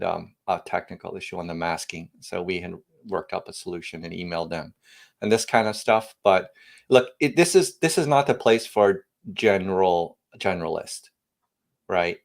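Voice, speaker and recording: Low-voiced guy, founder type, rambles and restarts a lot, gets emphatic now and then. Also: creaky. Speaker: guest, tech company founder. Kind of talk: podcast interview, casual technical talk.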